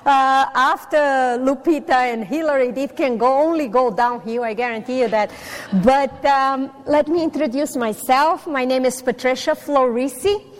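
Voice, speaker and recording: A middle-aged Brazilian woman with a Portuguese accent addresses a live audience, chatty and self-deprecating, pausing on fillers. Her voice sits fairly high for a woman. The long reverb of a conference hall trails after each phrase. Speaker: conference speaker. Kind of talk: personal talk to a live audience.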